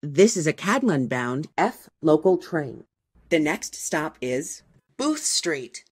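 A woman reading scripted train announcements in a measured, formal, segmented way. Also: deep voice, very animated, slightly rough. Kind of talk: scripted transit announcement, spliced clips.

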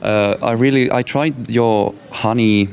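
A man's voice: medium pitch, fairly even intonation, unhurried, vocal fry, a little mellow. A young man from Finland whose first language is Swedish, answering interview questions in English earnestly, repeating words for emphasis. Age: young adult. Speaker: exchange student. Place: school classroom.

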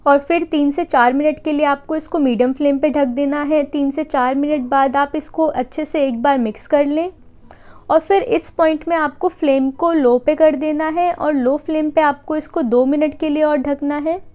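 A woman giving a calm, patient step-by-step voice-over for a recipe video in her kitchen. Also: high-pitched, moderate pace. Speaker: cooking channel host.

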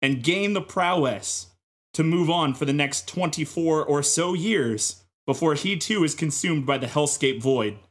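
A man's voice: fairly high pitch, a little slow. A man on a podcast panel spinning comic theories in a mock-serious, dramatic, emphatic way.

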